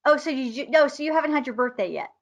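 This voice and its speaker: A woman's voice: high voice, lively intonation, fast, gravelly. A woman in her fifties hosting an interview show, bubbly and chatty. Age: 50s. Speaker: host. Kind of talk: casual interview chat.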